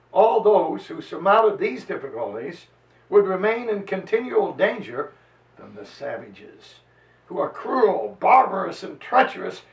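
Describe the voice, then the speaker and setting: A man giving a solemn, declamatory dramatized reading of an old historical text, with emphatic pauses. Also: high-pitched. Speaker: preacher reading a history aloud. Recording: echoey room, far from the mic.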